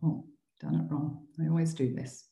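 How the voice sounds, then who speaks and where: A woman's voice: low-pitched, little pitch movement, moderate pace, gravelly, dark tone, roomy sound. A woman from a school giving an informal, chatty talk to prospective students, explaining things with frequent ums and restarts. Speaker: school staff presenting to students.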